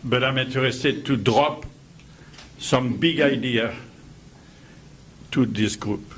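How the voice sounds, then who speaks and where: Older French-accented man, slow and joking. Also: mid-range voice, a bit bright, a little hiss or hum. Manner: joking, laughs often. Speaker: meeting attendee. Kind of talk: casual self-introduction. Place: meeting room with a group.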